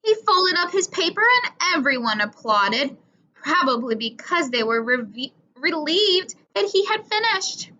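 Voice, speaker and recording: Slow, animated, high-voiced woman reading aloud. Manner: animated, deliberate, acting out dialogue. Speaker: teacher reading a children's book aloud. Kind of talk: expressive children's read-aloud narration. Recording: dull lo-fi recording.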